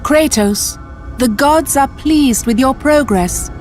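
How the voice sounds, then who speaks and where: An actress playing a wise, regal goddess in a video game cutscene, speaking in a measured, solemn and commanding way. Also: female voice, high voice, darkish tone. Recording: orchestral game music behind.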